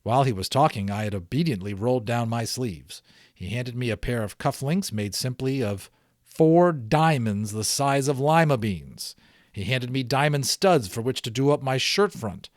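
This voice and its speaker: A man narrating a storybook aloud in an expressive, explanatory way, as an audiobook reader. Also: medium pitch, moderate pace, dry recording, clean studio sound.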